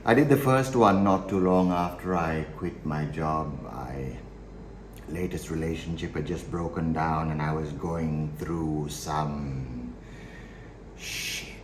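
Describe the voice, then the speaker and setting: Male actor as a cold, matter-of-fact killer, theatrical monologue. Deep voice, deliberate pauses, hushed to forceful, roomy recording with faint noise. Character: chillingly matter-of-fact male psychopathic killer, darkly amused. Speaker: monologue performer.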